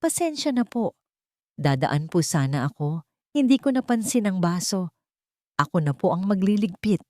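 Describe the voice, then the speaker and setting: A woman with a Filipino accent voicing a calm, gentle, respectful housemaid in a narrated story. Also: lowish voice, normal speed, dry recording, clean studio sound. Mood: calm apology. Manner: soft-spoken, respectful.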